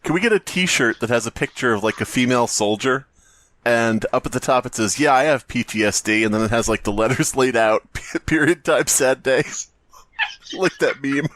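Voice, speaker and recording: A man's voice: mid-range voice, normal speed, fairly bright tone. A man co-hosting a rowdy comedy podcast, bantering crudely and swearing freely. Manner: crude, joking, profane. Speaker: podcast co-host.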